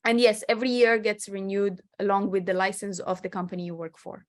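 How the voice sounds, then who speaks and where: A woman's voice: medium pitch, flat delivery, fairly quick. A woman, answering interview questions over a video call in a chatty, explanatory way with many restarts. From Morocco. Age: 30s. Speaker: guest real estate agent. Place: home with a city skyline behind.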